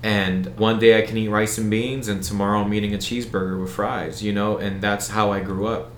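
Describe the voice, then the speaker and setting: A man's voice: on the low side, flat delivery, a little fast, roomy sound, a little hiss or hum. A man with an American accent, talking casually as an interview guest and circling back through his sentences. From United States. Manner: rambling, many restarts and fillers. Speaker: event organizer, guest.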